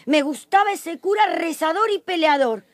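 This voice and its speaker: A woman's voice: very high voice, lively intonation, moderate pace, dry recording. An actress playing a folksy, curious common woman with plaintive exclamations in a radio drama dialogue. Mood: curious, sympathetic.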